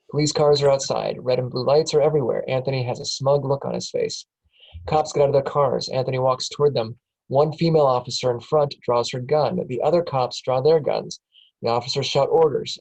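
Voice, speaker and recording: A man's voice: medium pitch, creaky, darkish tone, poor audio. Matter-of-fact man reading stage directions. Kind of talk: scripted narration at a table read.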